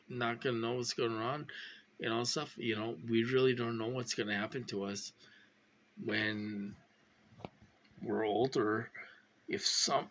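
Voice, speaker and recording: A man of fifty talking to viewers in a rambling, halting monologue, full of fillers and repeats, sounding anxious and insistent. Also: medium pitch, a bit bright, slight background noise. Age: 50s. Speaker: vlogger.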